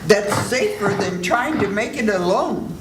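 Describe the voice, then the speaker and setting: An older woman reading the part of a calm, commanding doctor in a live old-time radio play. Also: medium pitch, measured pace, lightly acted. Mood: steady. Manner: firm, reassuring, commanding. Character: calm, take-charge country doctor. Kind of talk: 1940s radio drama reading. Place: senior center event room. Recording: echoey room with loud music.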